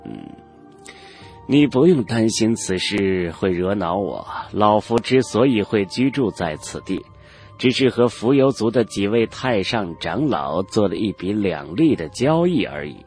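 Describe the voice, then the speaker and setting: A man voicing an old male cultivator elder in a fantasy audiobook, haughty and knowing, with measured, condescending, old-fashioned speech. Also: on the low side, sing-song, a little mellow, soft background music. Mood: aloof, musing. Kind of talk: Chinese fantasy audiobook character acting.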